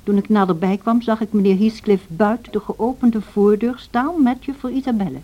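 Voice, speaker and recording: An actress playing a plain-spoken, disapproving housekeeper who narrates a radio drama in an earnest storytelling manner. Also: female voice, mid-range voice, normal speed, lightly acted. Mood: narrating, disapproving. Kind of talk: scripted first-person narration in radio drama. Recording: dull lo-fi old radio recording.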